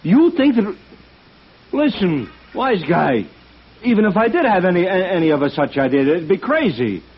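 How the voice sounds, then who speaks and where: An actor playing a shifty, self-pitying talent agent, slangy and defensive, in a 1950s radio noir drama. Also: male voice, sits high, very animated, moderate pace, husky, dull audio, heavily acted. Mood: indignant, threatening. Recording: noisy band-limited vintage radio recording.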